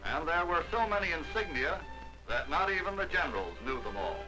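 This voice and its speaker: A man narrating a 1940s war documentary with a fairly high voice and a measured, declamatory newsreel delivery. A full film score plays clearly beneath him. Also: big pitch swings, music in the background, low-quality recording.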